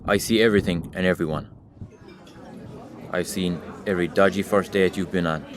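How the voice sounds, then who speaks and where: A man's voice: sits low, steady tone, moderate pace, a crowd in the background, close to an everyday voice. A man playing Rain as a weary observer of humanity, addressing the listener directly in a wry, reproachful audio drama monologue. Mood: knowing, wry.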